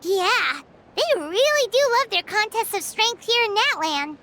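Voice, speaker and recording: Squeaky, very high little fairy sidekick. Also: female voice, very animated, measured pace, pushed and bright, slight background noise, exaggerated voice. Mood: chatty. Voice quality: squeaky, childlike. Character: tiny excitable childlike female fairy companion, gluttonous and chatty. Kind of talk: video game character acting.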